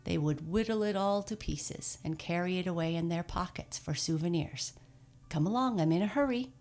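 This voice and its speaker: A woman voicing a brisk, kindly old Santa Claus who speaks heartily and gives cheerful commands. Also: lowish voice, fairly even intonation, darkish tone, close to an everyday voice. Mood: hearty.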